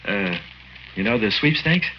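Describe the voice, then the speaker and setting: A man's voice: fairly low pitch, expressive, unhurried, a little hiss or hum. A man acting in a 1940s radio drama as a greedy, weak husband who stammers and cajoles for favors. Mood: sheepish, wheedling. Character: wheedling, greedy husband, a weak small-time gambler. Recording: lo-fi 1940s radio recording, faint music.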